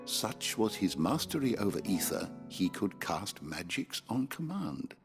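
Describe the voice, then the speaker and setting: An actor with an English accent playing a learned, courtly scholar in a fantasy game, formal and eloquent. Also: male voice, lowish voice, big pitch swings, a little slow, creaky, over music, exaggerated voice. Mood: scholarly, intrigued. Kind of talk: video game drama acting.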